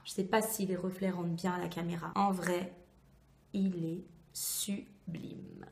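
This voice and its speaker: A woman with a hoarse voice recovering voice loss, chatting enthusiastically as she reviews a beauty product to camera. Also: fairly low pitch, flat delivery, unhurried, a little mellow. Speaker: beauty vlogger. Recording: echoey, reverberant room.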